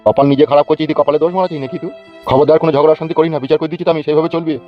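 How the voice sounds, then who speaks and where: A man playing a rapid-fire, blustering crooked politician. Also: on the high side, steady tone, muffled recording, soft background music, lightly acted. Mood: angry, threatening. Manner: blustering, bullying, commanding. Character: bossy, crooked, foul-mouthed male village politician dispensing justice. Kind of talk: Bengali cartoon comedy dialogue.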